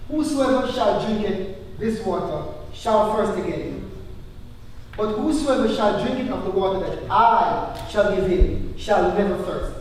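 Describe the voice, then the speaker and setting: A man playing a solemn teacher with calm authority in a live church drama, reciting scripture in emphatic, measured phrases. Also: high voice. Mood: calm, assured. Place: church hall, recorded live. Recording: very echoey hall, distant mic, faint noise.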